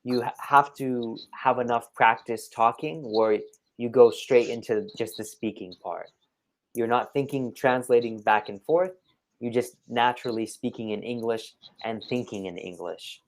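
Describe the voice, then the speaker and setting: A man's voice: medium pitch, a little slow, low-quality recording. A man giving conversational one-to-one tutoring advice as an English tutor, explaining things step by step in an encouraging way. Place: online video call.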